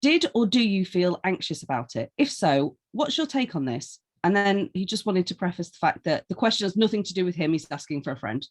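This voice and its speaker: Woman with a lowish voice, playful chat. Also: sing-song. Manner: playful, quick to laugh, reads questions aloud. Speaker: co-host reading listener questions. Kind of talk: casual conversational chat.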